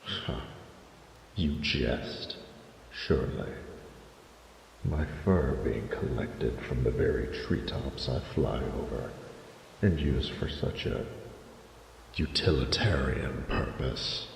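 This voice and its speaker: An ancient silver dragon rumbles extremely low in a romantic audio roleplay, vain and flirtatious. He speaks slowly in grand, archaic phrases. The voice rings through a long, cavernous reverb. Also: expressive, exaggerated voice. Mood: baffled, affronted. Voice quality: gravelly. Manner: pompous, archaic, deliberate formal speech. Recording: roomy cavernous reverb with noticeable noise.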